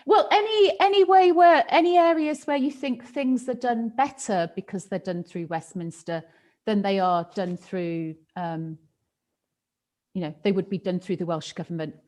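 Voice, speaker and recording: A woman's voice: mid-range voice, moderate pace, darkish tone. A woman hosting, asking questions and rephrasing them as she searches for words. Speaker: host. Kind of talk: interview questions.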